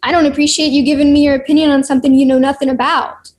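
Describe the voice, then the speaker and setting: A woman's voice: high voice, flat delivery, rapid-fire, darkish tone, close to an everyday voice. A woman reading the part of a sarcastic, irritable young woman at a table read. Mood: sardonic. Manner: sarcastic, snappy.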